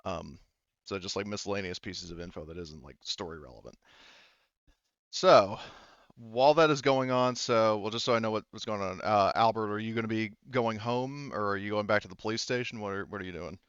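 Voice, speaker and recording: A man's voice: fairly low pitch, a little fast, fairly bright tone, smooth, dry recording, dull audio. A man running a tabletop roleplaying game as game master, narrating in a hesitant, improvised, rambling way.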